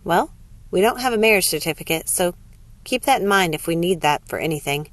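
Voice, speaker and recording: A woman's voice: lowish voice, animated, brisk, a bit bright, no room sound. A woman voicing a practical, reassuring young nurse in an audiobook story.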